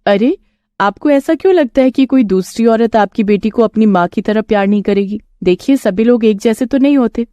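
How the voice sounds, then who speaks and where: A woman's voice: a little high, moderate pace, warm tone, no echo, clean studio sound, natural voice. A woman voicing a kind, tearful five-headed young village woman, earnest and pleading, in a storybook tale. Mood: gentle.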